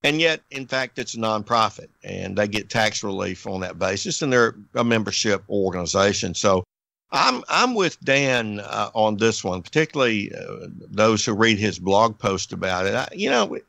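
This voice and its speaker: An American man with a lowish, creaky voice and a forceful edge gives measured panel commentary. He talks conversationally. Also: big pitch swings, no room sound. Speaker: podcast panelist.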